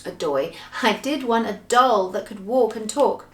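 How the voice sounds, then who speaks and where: A woman's voice: medium pitch, a little slow, roomy sound, natural voice. A woman voicing an innocent, hesitant and slightly bewildered little girl in a children's storybook. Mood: grateful, earnest.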